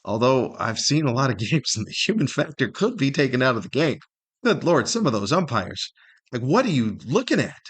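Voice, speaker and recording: A man's voice: mid-range voice, very animated, brisk, fairly bright tone, slightly rough. Grumbling middle-aged male podcast host. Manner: grumbling, incredulous rhetorical questions. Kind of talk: humorous opinionated solo monologue.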